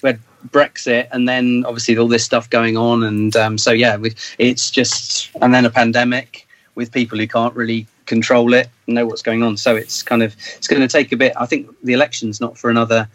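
A man's voice: mid-range voice, little pitch movement, a little fast, a bit bright, no echo, poor audio. A British man chatting casually as a band member in an interview, rambling and thinking aloud as he goes.

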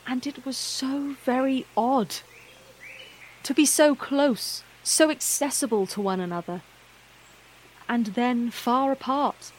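A woman narrator reading a scripted fantasy tale in a measured, literary, wryly understated manner. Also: sits high, slight background noise, low-quality recording.

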